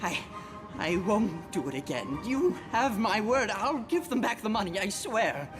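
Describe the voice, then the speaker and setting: A woman's voice: medium pitch, sing-song, unhurried. A woman playing a defensive, evasive swindler in a fantasy video game, with a pleading manner. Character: cornered, evasive drug swindler, defensive, shifty. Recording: loud music behind the voice.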